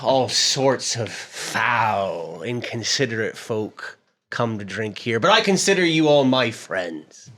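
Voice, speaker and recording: Man playing a slow, swinging tavern toastmaster. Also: medium pitch. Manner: declamatory, savoring insults. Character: rowdy tavern host toasting foul drinkers as friends. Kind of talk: theatrical in-character roleplay speech. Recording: clearly noisy with clicks.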